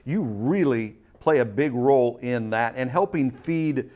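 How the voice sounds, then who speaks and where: A man's voice: mid-range voice, expressive, measured pace, dark tone. Conversational male talk show host. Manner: conversational, leading questions. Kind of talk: community talk show interview.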